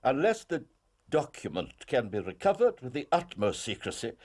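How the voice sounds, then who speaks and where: An actor playing a stern, imperious Prime Minister with an upper-class English accent, weighty and formal, in a period drama. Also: male voice, mid-range voice, huge pitch swings, normal speed, darkish tone. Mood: grave, guarded. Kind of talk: British period detective drama acting.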